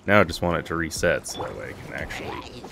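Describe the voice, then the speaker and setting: Low-voiced man casually commenting on a game. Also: very animated, quick pace, vocal fry. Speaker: gaming video host. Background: game music.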